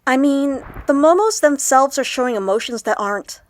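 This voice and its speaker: A woman playing an awkward, excitable young woman vlogger in an audio drama, chatty and expressive. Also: high-pitched, normal speed. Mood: chatty, troubled. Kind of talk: audio drama acted as a confessional vlog.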